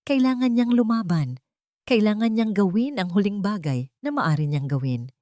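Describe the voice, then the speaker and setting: A woman's voice: medium pitch, animated, normal speed, dark tone, dry recording, studio quality. A woman storyteller reading a scripted dramatic story.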